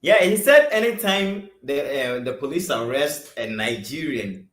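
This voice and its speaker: A man hosting a discussion, asking questions and cutting in quickly. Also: medium pitch, sing-song, a bit bright. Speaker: host. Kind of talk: casual discussion. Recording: crowd noise behind.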